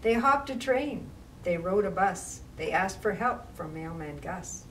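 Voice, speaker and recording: A woman reading to young children as a library storytime reader, with measured, expressive phrasing and casual asides. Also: on the low side. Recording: distant mic with faint background noise.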